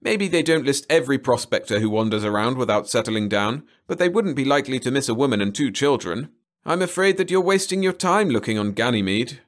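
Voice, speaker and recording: A man voicing a shrewd, sardonic father in a story, brisk, direct and skeptical. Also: mid-range voice, expressive, natural voice.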